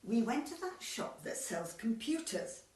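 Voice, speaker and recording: A woman's voice: a little high, very animated, a little slow, a little mellow, recorded from a distance. Sweet flustered old lady acted by a woman, radio comedy, rambles on, voice goes up and down, echoey room. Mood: flustered, earnest. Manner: fussy, flustered, innocently rambling. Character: sweet, scatty elderly widow on club committee. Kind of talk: amateur radio comedy acting.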